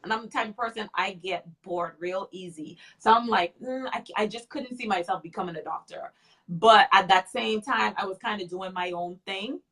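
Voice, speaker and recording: A woman's voice: mid-range voice, moderate pace, sharp tone, rough recording. A woman in her thirties, a makeup artist and guest, speaking candidly in a casual interview and often repeating short phrases. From Freeport.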